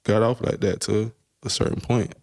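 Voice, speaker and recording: A man's voice: on the low side, steady tone, moderate pace, gravelly, darkish tone. A young man talking casually on a podcast, telling loose, profane stories with lots of filler phrases. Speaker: podcast co-host. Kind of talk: casual chat.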